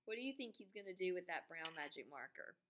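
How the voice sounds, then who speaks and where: A woman's voice: fairly low pitch, fast, a little hiss or hum. A woman in her thirties or forties reading to children as a teacher, expressively explaining and posing questions. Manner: expressive, asks the listeners questions. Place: small classroom or school room, indoors.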